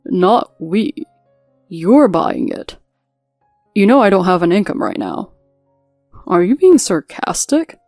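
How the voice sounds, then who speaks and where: A woman's voice: mid-range voice, big pitch swings, takes it slowly, pauses often, a little mellow, no echo, soft background music, full character voice. Woman voicing a scolding husband in a dry close recording. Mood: irritated, bossy. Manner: scolding, accusatory. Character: demanding, whiny husband siding with his mother. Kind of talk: scripted story dialogue.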